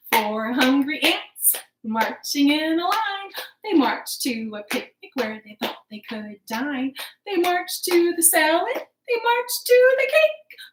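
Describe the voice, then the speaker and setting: Female children's storytime host: high-pitched, slow delivery, playful and encouraging, in an echoing room. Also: a little hiss or hum. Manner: animated, encouraging, playful exaggeration for small children. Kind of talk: children's storytime, rhymes and counting. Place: living room at home. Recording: roomy, echoing home recording.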